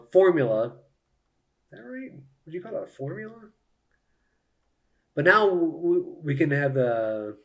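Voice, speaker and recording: A man giving casual live commentary on a solo board game, thinking aloud and explaining each move to viewers. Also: medium pitch.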